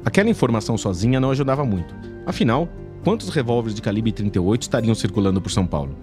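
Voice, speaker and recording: A man reporting and narrating a true-crime podcast in a measured investigative way. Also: on the low side, expressive, over music.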